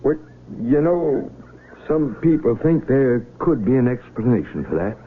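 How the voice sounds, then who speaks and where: An actor playing a calm, inquisitive country physician in a radio drama, with a husky American voice and a measured, gently questioning manner. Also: male voice, medium pitch, a little hiss or hum. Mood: patiently explaining. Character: calm, inquisitive, patient country physician of the Civil War era. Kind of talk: 1970s radio drama acting. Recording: narrow, tinny vintage radio broadcast.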